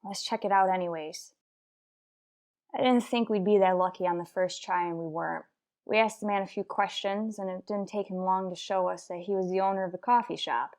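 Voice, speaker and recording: A woman's voice: fairly low pitch, darkish tone, lightly acted. A woman playing a terse, dutiful police detective in an old-time radio crime drama, clipped and matter-of-fact. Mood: weary, matter-of-fact. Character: terse, dutiful female police detective narrating her case.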